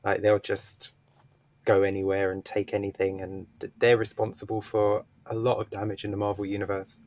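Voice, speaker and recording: A man's voice: fairly low pitch, completely flat delivery, normal speed, darkish tone, dry recording. A man casually discussing comic books as a podcast host, blunt and opinionated. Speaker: podcast book club host.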